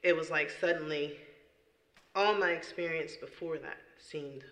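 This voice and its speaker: A woman's voice: low voice, measured pace, fairly bright tone, echoey room, low-quality recording. A woman who sings in worship, giving an earnest, emphatic personal testimony in an interview. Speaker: worship singer.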